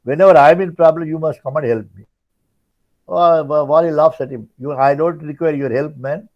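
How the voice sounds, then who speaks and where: An older man with an Indian accent giving an informal religious discourse, retelling stories in halting, repetitive phrases as a spiritual teacher. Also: a little high, fairly even intonation. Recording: dull lo-fi audio.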